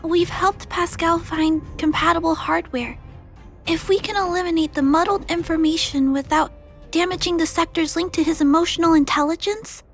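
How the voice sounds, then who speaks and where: A woman playing a gentle, earnest young girl in a video game, polite and thoughtful but determined. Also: very high-pitched, normal speed, faint music behind. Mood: earnest, hopeful. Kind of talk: scripted video game voice acting.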